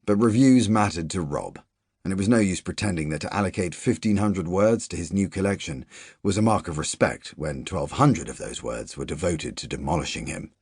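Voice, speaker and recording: Deep, husky male audiobook narrator. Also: no room sound, clean studio sound. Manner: measured first-person narration, quiet asides in dialogue. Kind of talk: literary audiobook reading.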